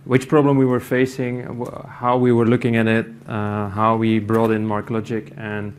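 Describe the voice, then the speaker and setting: Halting Belgian man presenting in English. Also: on the low side, monotone, a little hiss or hum. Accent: Belgian Dutch. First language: Dutch. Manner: halting, many fillers and restarts. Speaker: conference speaker, company IT executive. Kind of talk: conference presentation. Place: conference room.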